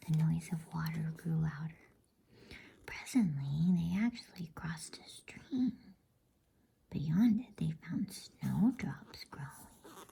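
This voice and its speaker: A woman reading softly in a breathy whisper, in a soothing, unhurried ASMR bedtime story style. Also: sits low. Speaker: host and storybook reader.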